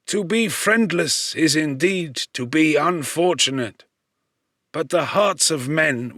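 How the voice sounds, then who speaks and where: Man acting a kindly, high-voiced old blind man. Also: dry recording, clean studio sound, full character voice. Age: older. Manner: gentle, earnest, courteous. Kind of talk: audiobook character voice.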